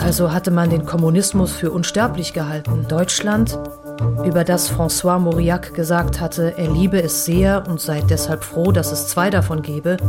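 Female narrator for literary radio drama: lower register, measured matter-of-fact delivery, prominent music bed. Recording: studio voice over prominent music.

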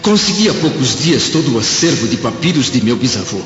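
A man's voice: mid-range voice, big pitch swings, brisk, natural voice. A man acting in a radio drama as an earnest, troubled Roman senator, speaking in a solemn, theatrical way. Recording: echoey hall reverb, dull audio.

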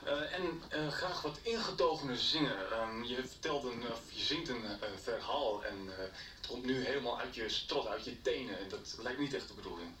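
A man playing a soft-spoken studio director. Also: medium pitch, moderate pace, reverberant, recorded from a distance, slight background noise, lightly acted, poor audio. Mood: directive.

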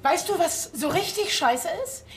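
A woman's voice: extremely high pitch, expressive, measured pace. An actress playing a brash, rude young woman in a radio drama. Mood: defiant. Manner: crude, blunt, defiant. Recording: radio drama with faint ambience.

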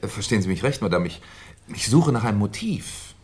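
A courteous police inspector in a 1970s radio crime drama. His voice is deep and moves expressively as he probes with pedantic, halting questions. He sounds quietly sceptical beneath the politeness. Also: sing-song. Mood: methodical, courteous. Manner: hesitant, probing, pedantic questioning with deliberate pauses. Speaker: actor in a radio crime play.

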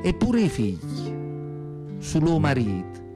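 An elderly, plainspoken mother from southern Italy, reminisces in a radio play with a thick Neapolitan accent. The voice sits fairly high, confiding and rambling as it circles back over phrases. Plucked guitar music plays clearly behind it. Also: huge pitch swings, slow, exaggerated voice. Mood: resigned, plaintive. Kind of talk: radio theatre monologue in dialect.

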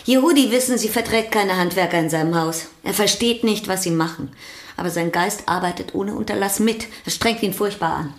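An actress playing a violinist's brisk, protective sister in a radio play, with pointed, formal questioning. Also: female voice, mid-range voice, roomy sound, natural voice. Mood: sceptical, brisk.

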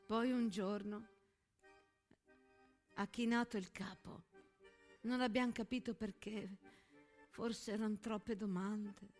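A woman playing a resigned, grieving village mother in wartime, speaking in a hushed, halting theatrical monologue. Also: medium pitch, fairly even intonation, soft background music, pitched below the performer's own voice.

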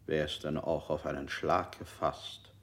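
An actor playing a calm male interrogator in a 1960s radio play. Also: extremely low pitch, a little slow. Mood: probing. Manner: calm, probing questions. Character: cold male interrogator, middle-aged.

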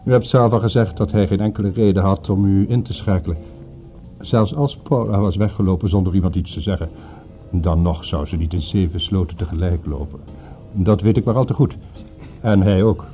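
A man's voice: low voice, mellow. A tinny-sounding, courteous male businessman. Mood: guarded, probing. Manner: deliberate, formal, polite. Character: courteous, calculating businessman, a middle-aged father. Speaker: radio drama actor. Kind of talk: Dutch radio play dialogue. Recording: tinny narrow-band old radio, faint music.

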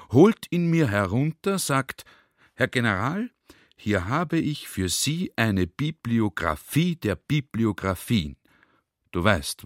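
A man's voice: mid-range voice, no echo, studio quality. An actor playing a garrulous, naively sly army general who tells his adventure in a chatty, emphatic radio play monologue. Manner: chatty, emphatic storytelling, drawn-out quoted speech.

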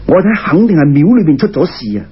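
A man's voice: on the high side, big pitch swings, fast, husky, voice pushed down, exaggerated voice. A man with a Cantonese accent voicing a cautious male bat spirit in a folk tale, humble, worried and earnest. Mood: anxious, worried. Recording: muffled lo-fi radio recording.

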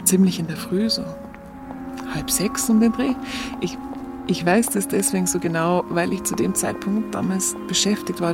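Munich woman narrator for radio play: Bavarian accent, colloquial, confiding recollection, clear music bed. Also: fairly low pitch. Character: Munich woman candidly recalling her hungry youth in 1945.

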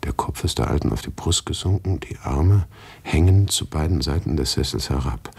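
A man narrates a radio play in the first person with a low, husky voice. His delivery is intense and highly varied in pitch, moving between hushed and agitated. The sound is dramatic and literary. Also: lively intonation, normal speed, no room sound.

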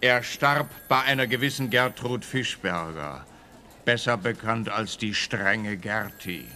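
A man's voice: lowish voice, expressive, a little slow, sharp tone, heavily acted. An officious, smug policeman in a radio drama. His delivery is hard-edged and emphatic, with a needling touch and a Swiss Standard German accent. There is faint ambient noise behind him. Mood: reluctant, emphatic. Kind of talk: radio play dialogue.

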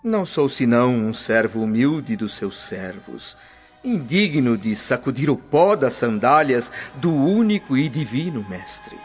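An actor playing a humble, gentle freed preacher in a radio drama, speaking in unhurried, earnest sermons. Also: male voice, mid-range voice, sing-song. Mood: counselling. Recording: dull lo-fi audio with faint music.

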